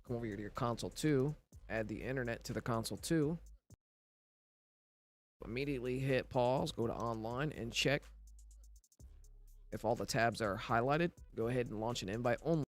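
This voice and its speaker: A man with a scratchy, slightly worn voice talks through a video game tutorial step by step. His pitch sits in an ordinary middle range, and faint music plays underneath. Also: moderate pace, pauses often. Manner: instructional, walks through steps plainly. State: scratchy voice from recovering illness. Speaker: gaming tutorial narrator.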